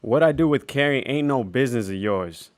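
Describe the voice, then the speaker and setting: A man acting a hot-tempered, bullying Western rancher in a radio drama, gruff and threatening, with rustic speech. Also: mid-range voice, lively intonation, a little fast, natural voice. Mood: angry, contemptuous.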